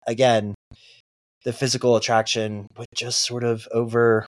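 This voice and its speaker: A man's voice: little pitch movement, a little slow. A man in his thirties in casual chat, teasing his friend and swinging his voice up when he mocks. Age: 30s. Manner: teasing, jumps high when mocking. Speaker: podcast guest. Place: Los Angeles.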